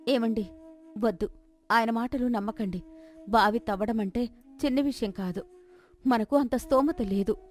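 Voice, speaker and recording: A woman voices a cautious village farmer's wife in a dramatized folk story. Her voice is slightly high, worried and pleading, with faint music beneath it. She sounds sensible and cautioning. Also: measured pace, pauses often, darkish tone, close to an everyday voice. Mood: anxious, pleading.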